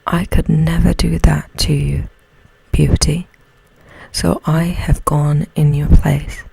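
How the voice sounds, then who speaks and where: A woman voicing a weary, loving father in a slow, subdued storybook whisper. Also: low voice, little pitch movement, warm tone, no room sound, exaggerated voice, poor audio. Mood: sorrowful. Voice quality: breathy whisper. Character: weary, loving, self-sacrificing old father.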